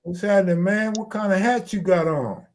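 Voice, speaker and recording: A man's voice: high voice, moderate pace. An American man hosting a live stream, chatting casually in slangy, profane, streetwise talk.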